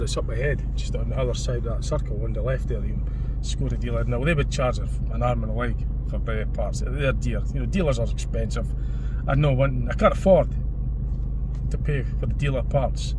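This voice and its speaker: Quiet-voiced man driving. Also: medium pitch, a little fast. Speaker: vlogger driving his car. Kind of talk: casual driving commentary. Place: inside a moving car. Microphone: camera phone in the car. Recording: distant, dull, loud engine noise. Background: loud engine and road noise.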